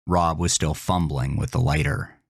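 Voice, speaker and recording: A man narrating a horror story with slow, intense delivery. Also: very deep voice, steady tone, creaky, breathy edge, dry recording, studio quality.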